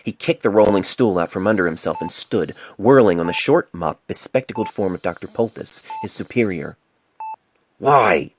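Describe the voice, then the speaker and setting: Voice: low-pitched, animated, brisk, few pauses, darkish tone, a little hiss or hum. An amateur narrator reading a story dramatically into a cell phone. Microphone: cell phone mic. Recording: amateur phone recording with music behind.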